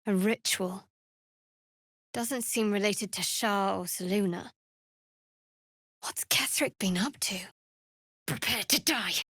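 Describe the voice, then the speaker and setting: An actress playing a guarded, sardonic young female cleric of a dark goddess in a fantasy video game, speaking in a measured, dryly wry way. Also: medium pitch, no echo, studio quality. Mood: wry, businesslike, then fierce, commanding. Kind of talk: video game voice lines, dramatic fantasy acting.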